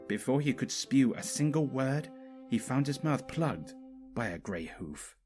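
A man's voice: medium pitch, sing-song, a little slow, a little mellow, faint music behind. A man reading a story aloud as a scripted narrator. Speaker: fanfiction narrator.

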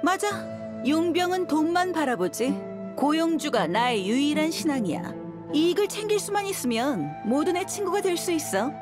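A woman's voice: high-pitched, very animated, moderate pace. An actress playing a confident, sardonic young female mercenary in a video game, cool and taunting. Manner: cool, taunting, self-assured. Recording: music behind the voice.

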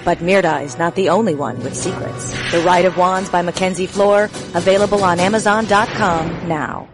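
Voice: mid-range voice, steady tone, normal speed. Dramatic advert narrator over music. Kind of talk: scripted book trailer narration. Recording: loud music bed behind.

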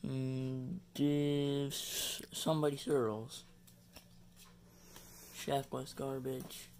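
A man casually chatting while opening trading card packs at home, reading player names haltingly. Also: medium pitch, monotone, a little mellow, slight background noise. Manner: mumbling, reads names haltingly. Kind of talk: casual box-break chat. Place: indoors at home, carpeted floor.

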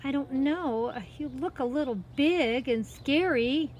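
Woman putting on a little girl, high voice, timid, earnest, polite storybook heroine, faint outdoor noise. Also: measured pace, darkish tone. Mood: apologetic, timid. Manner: hesitant, apologetic, earnest. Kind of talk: improvised children's storytelling.

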